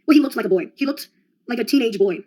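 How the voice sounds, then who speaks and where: A woman's voice: fairly high pitch, animated, fast talker, a little mellow. A young adult woman in a rambling solo monologue, sardonic and profane, jumping from one topic to the next. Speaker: vlogger.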